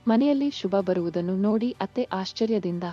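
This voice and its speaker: A woman's voice: medium pitch, animated, a little fast, dark tone. A woman reading scripted narration for a children's moral story. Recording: muffled lo-fi sound. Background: faint music.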